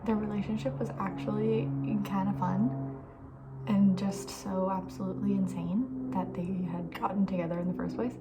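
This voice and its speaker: A young woman with a soft, breathy voice, often near a whisper, chatting casually and with playful opinions in an ASMR style. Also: mid-range voice, steady tone, moderate pace, heavy background noise. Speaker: ASMR video creator.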